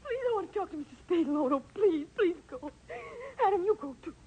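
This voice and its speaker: Scheming young nurse, high voice, hesitant and coaxing, vintage radio melodrama. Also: female voice, expressive, quick pace, dark tone, poor audio. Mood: tearful pleading. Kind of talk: 1940s radio acting.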